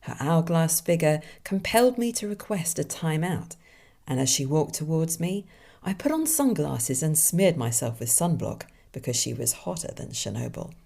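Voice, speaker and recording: A woman voicing a wisecracking male deer detective in a film noir drawl, delivering deadpan puns. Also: low-pitched, normal speed, vocal fry, exaggerated voice. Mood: hardboiled deadpan.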